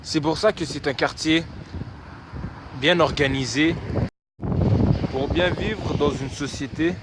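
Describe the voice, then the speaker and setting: A man presenting a neighborhood on location, speaking in a measured way. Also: a little high. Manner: slow, measured. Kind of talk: presenting outdoors. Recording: loud wind noise outdoors.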